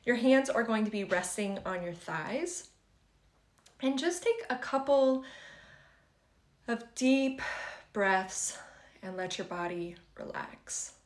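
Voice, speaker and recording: A woman leading a guided meditation for beginners in a gentle, conversational and reassuring way. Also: mid-range voice, lively intonation, slow, pauses often. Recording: roomy, echoing sound.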